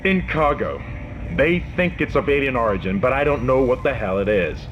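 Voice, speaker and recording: A man's voice: mid-range voice, animated, normal speed, muffled recording, a little hiss or hum. A man playing a stern, authoritative security officer in a science fiction video game, speaking tersely over comms. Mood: defensive. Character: commanding, stern male security officer aboard a spaceship.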